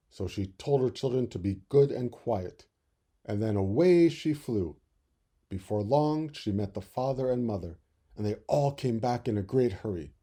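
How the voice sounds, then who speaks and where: A man reading a children's story expressively, with deliberate, dramatic phrasing. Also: on the low side, mellow, slightly rough. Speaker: storybook reader.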